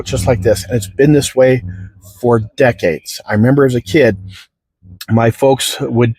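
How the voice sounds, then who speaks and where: A man's voice: mid-range voice, darkish tone, no echo, rough recording. A middle-aged American man giving a casual, unscripted product demonstration in a folksy, rambling way. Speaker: product reviewer.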